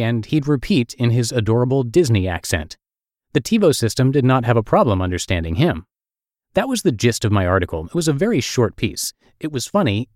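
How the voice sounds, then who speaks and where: A man's voice: mid-range voice, a little mellow, no echo, studio quality. A middle-aged man with a Russian accent reading his own essay aloud in a wry, self-deprecating storytelling manner. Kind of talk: read-aloud essay narration.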